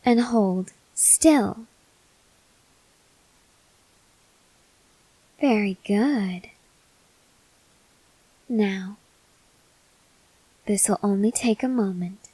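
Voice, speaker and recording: Woman acting as possessive female vampire, calm, seductive, unhurried delivery, softly stern, close dry sound, ASMR roleplay. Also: mid-range voice, slightly rough, no room sound, poor audio. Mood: teasing, commanding. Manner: slow, deliberate, softly stern, at times whispering.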